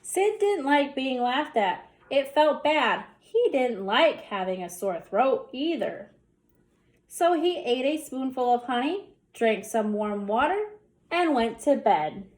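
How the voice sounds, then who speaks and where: A woman reading a children's story aloud, with deliberate, theatrical emphasis on the action words. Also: sits high, sing-song, a little mellow. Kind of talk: expressive bedtime story read-aloud.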